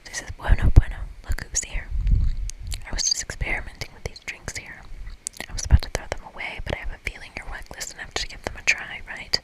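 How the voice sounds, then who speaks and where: A woman's voice: quick pace, lightly acted, low-quality recording. Woman whispering as a sly magical tomcat bartender. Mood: sly, inviting. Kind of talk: whispered ASMR game character reading.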